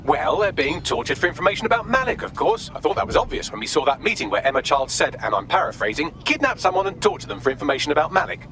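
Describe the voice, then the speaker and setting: A cheeky male AI hacker assistant with a British accent, rattling along in a high, swooping voice. He is sardonic and wordy, in video game style, over clear urban noise. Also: sing-song, quick pace, few pauses, sharp tone, heavily acted.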